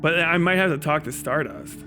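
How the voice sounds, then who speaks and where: A man hosts a livestream in casual, reactive chat. His voice sits a little high for a man, and his talk is halting, slangy and full of swearing. Faint music is present. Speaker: livestream host.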